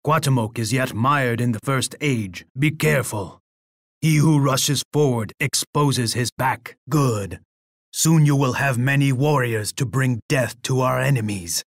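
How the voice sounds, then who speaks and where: A menacing Aztec emperor from a video game, in a medium-pitched male voice. He is pompous and boastful, delivering each line as an imperious, dramatic pronouncement. The sound is close and dry. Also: unhurried, dry recording. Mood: scornful, stern. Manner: pompous, speaks of himself in the third person.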